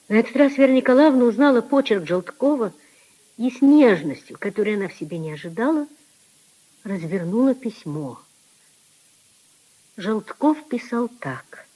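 An actress narrating a tragic literary story in the style of a 1950s Soviet radio drama. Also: female voice, on the high side, animated, normal speed, long pauses, dark tone, slightly rough, poor audio. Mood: solemn, tender.

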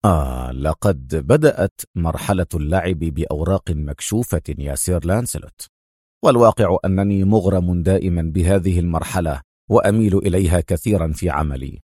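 A man voicing a cold, mocking, stern male secret agent in an audio story, speaking with unhurried, sardonic menace. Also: very deep voice, mellow, no echo, studio quality, voice pushed down.

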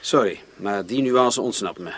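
An actor playing an evasive, prickly husband questioned as a suspect in a Dutch-language radio drama, formal and guarded. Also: male voice, lowish voice, measured pace, a bit bright, breathy edge. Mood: guarded, irritated.

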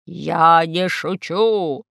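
A woman's voice: lowish voice, drawn-out words, creaky, a bit bright, no echo, clean studio sound, heavily acted. A woman voicing an indignant, demanding man with a blustering, insistent manner in a children's story. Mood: angry, demanding.

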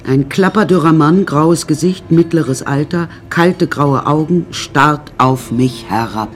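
An actress playing a self-possessed young woman who narrates her story in a dry, measured way in a radio drama. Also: low voice, faint music behind. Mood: wry, composed.

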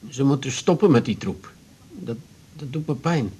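An actor playing a meek, grieving widower in a 1980s radio drama, halting and low-spirited, with a gravelly, husky voice. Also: male voice, expressive, warm tone. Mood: pained, aggrieved. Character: grieving, hesitant widower who drinks, meek and wounded.

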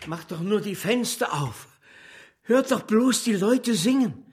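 A woman's voice: mid-range voice, expressive, a little slow, noisy background, close to an everyday voice. An actress in a radio drama playing a fiery, uncompromising revolutionary woman with a vehement, declamatory manner. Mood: urgent.

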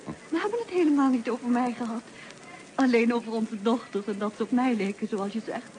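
A woman's voice: fairly high pitch, fairly quick, a little mellow, natural voice. An actress playing a candid, teasing married woman and former school troublemaker in a radio drama. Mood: composed. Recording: slightly noisy old radio play.

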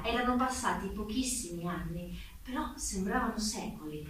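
A woman narrating a theatre piece from a script, solemn and declamatory, with deliberate phrasing. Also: mid-range voice, a bit bright. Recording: distant mic, very echoey room, faint noise.

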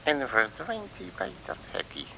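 An actor playing a bluffing, self-important detective with breezy colloquial talk in an old comic radio serial. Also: male voice, a little high, wildly swooping pitch, normal speed, gravelly, pushed and bright, full character voice, poor audio. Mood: knowing. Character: cocky, bluffing, folksy male detective. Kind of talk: 1960s Dutch comic radio serial acting. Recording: hissy old radio recording.

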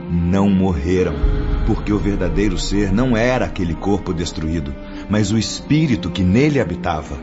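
A solemn, kindly spirit teacher played by a man with a low voice and a Brazilian accent. He instructs in formal radio-drama diction. The sound is muffled, with music prominent behind him. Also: expressive, moderate pace. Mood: grave, admonishing. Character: Brother Clemente, kindly solemn male spirit mentor instructing apprentices.

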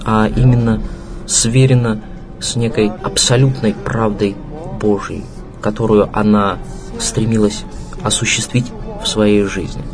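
A man with a low voice offers a reflective tribute. He speaks haltingly, phrase by phrase, with music clearly playing behind him. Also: little pitch movement. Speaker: interviewee, colleague giving a tribute.

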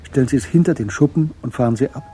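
A man narrating a radio crime drama in a measured, matter-of-fact way as its storyteller. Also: medium pitch, dark tone, slight background noise, close to an everyday voice.

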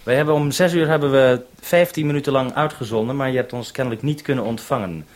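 A man's voice: mid-range voice, quick pace. A man speaking as a radio operator, using call procedure and repeating phrases to be understood. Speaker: radio programme maker, base operator. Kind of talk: two-way radio procedure talk.

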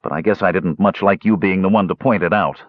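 A man's voice: sits low, fairly even intonation, fairly quick, no echo, narrow, tinny audio. A man voicing a stubborn young dwarf nephew in a fantasy audiobook, sullen, blunt and defensive. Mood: sheepish. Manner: belligerent, blunt, informal.

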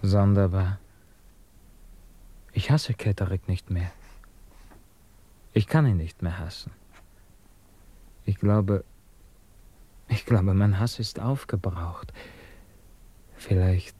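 Radio drama, anguished man in love with something to hide: restless, halting, evasive. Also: sits low, warm tone, breathy edge, exaggerated voice. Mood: weary, halting. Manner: hesitant, halting, repeating himself. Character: troubled secretive lover, restless, evasive, anguished. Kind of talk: German radio play acting.

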